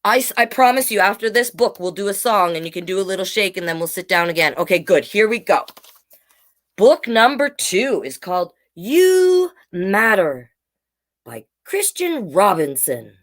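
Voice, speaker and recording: A woman's voice: mid-range voice, very animated, moderate pace. A woman hosting a children's storytime, reading picture books in a playful, encouraging way and chatting directly to young viewers. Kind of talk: animated picture-book read-aloud with chatty asides to young viewers.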